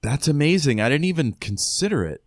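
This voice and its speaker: A man's voice: fairly high pitch, big pitch swings, brisk, no room sound, studio quality. A man co-hosting a podcast, discussing a book casually. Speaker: podcast co-host. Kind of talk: casual book discussion with short read passages.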